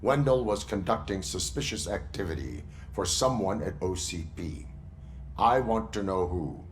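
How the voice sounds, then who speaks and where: An actor playing a terse, stern cyborg police officer in a video game, speaking in clipped deadpan statements. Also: male voice, low voice, unhurried, slight background noise. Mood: stern, dry.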